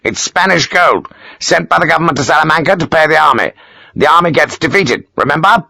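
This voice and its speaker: A narrator putting on a gruff, pious old army major who barks his orders in short, clipped bursts. The voice sits raised and gravelly, swinging widely as he lectures. It is recorded dry and close. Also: fairly high pitch, expressive, a little fast, sharp tone, dry recording, voice pushed up, exaggerated voice. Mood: lecturing. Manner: curt, barking, self-important. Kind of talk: audiobook character voice.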